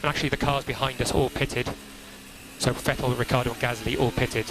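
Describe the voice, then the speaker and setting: A man working as a race engineer, giving calm, procedural briefings over team radio and repeating key instructions in a measured way. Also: medium pitch. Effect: team radio transmission. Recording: crackly noisy motor racing team radio.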